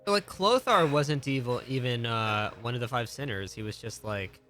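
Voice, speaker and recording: Male streamer, mid-pitched, casual and questioning, thinking out loud over faint game music. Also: normal speed. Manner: musing aloud, questioning. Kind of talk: casual live gameplay commentary.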